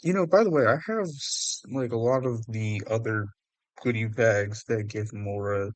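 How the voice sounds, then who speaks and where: A man's voice: lowish voice, normal speed, no echo. A man giving casual commentary on a game he plays, amused and thinking aloud. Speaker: gaming video host.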